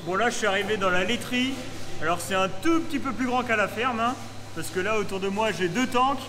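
A man's voice: sits high, brisk, crowd noise. A young man in his twenties giving a chatty, enthusiastic farm tour vlog, filmed on a handheld action camera in a large barn. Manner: chatty, enthusiastic, frequent fillers. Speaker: farm vlogger. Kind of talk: casual vlog walkthrough. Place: large barn and milking parlour, indoors. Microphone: action camera held at arm's length.

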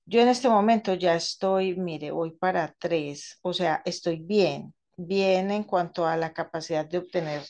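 A woman gives an informal tutorial as a therapist, explaining haltingly and often rephrasing herself. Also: fairly low pitch, a little mellow. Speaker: radionics therapist demonstrating a quantum table. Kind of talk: informal spoken tutorial with personal anecdotes. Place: indoors at a table, seated.

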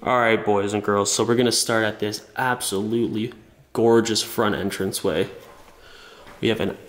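Young male vlogger, a bit under the weather, low voice, chatty and eager, filming himself at arm's length in an empty house. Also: fairly even intonation, moderate pace. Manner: enthusiastic, chatty, many fillers. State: sick with a cold. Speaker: urban explorer vlogger. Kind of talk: casual walkthrough narration. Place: empty abandoned house, indoors. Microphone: small camera held at arm's length.

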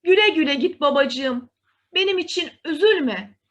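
A gentle young fairy-tale heroine, voiced by a woman reading a storybook. Her voice is high and swings widely in pitch. She speaks earnestly and emphatically, full of feeling. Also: expressive, unhurried. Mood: tender.

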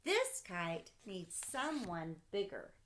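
A woman reading a storybook voicing a firm father, slow and emphatic with a raised voice. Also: on the low side, sing-song, fairly bright tone, heavily acted. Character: firm father, grown man, refusing his son.

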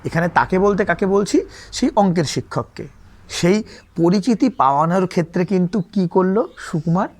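A male teacher with a fairly high-pitched voice retells a story as a lesson. He explains in spoken, classroom language, often rephrasing what he has just said. He puts rhetorical questions to the listener as he goes. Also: animated, moderate pace, a little mellow. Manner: repeats and rephrases points, asks rhetorical questions.

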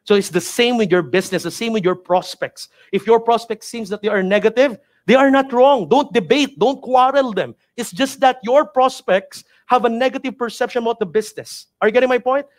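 A man's voice: extremely high pitch, fairly quick, few pauses. A Filipino man in his thirties with a Filipino accent, a native Tagalog speaker, giving a motivational training talk in an emphatic, repetitive, urging manner. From Philippines. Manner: emphatic, repetitive, preacher-like urging with rhetorical questions. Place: indoor studio with virtual backdrop. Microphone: headset mic.

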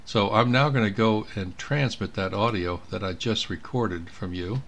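Low-voiced man giving a casual tutorial. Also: moderate pace, creaky, fairly bright tone, slight background noise. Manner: thinking aloud while working. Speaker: amateur radio operator demonstrating software. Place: indoors at a computer.

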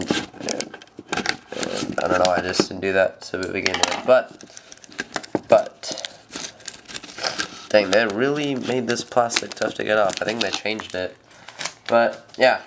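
A man's voice: sits low, vocal fry. A teenage boy hosting a card pack opening with a stuffed nose from a cold, rambling with lots of fillers. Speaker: channel host. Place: home room at a table. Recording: noisy home camera audio.

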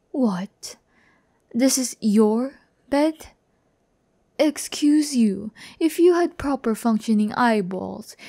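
ASMR roleplay: vain, condescending young female demon, played by a woman, gravelly fry, close dry mic. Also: a little high, warm tone, dry recording. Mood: indignant. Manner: slow, condescending, teasing, often dropping to a whisper. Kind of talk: breathy ASMR audio roleplay. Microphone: close microphone, dry sound.

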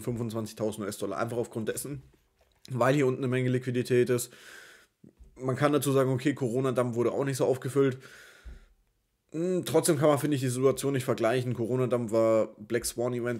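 A young man with a slightly hoarse voice from a cold, hosting an offhand, jargon-heavy crypto chart analysis from his desk. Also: mid-range voice, darkish tone. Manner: brisk, offhand trader talk full of jargon and fillers. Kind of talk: casual market analysis over screen-shared charts.

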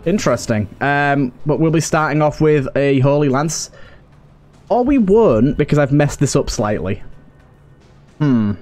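A man's voice: on the high side, animated, a little slow, darkish tone. A man giving casual let's play commentary, muttering to himself as he thinks through his moves. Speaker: gaming video host. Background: game music.

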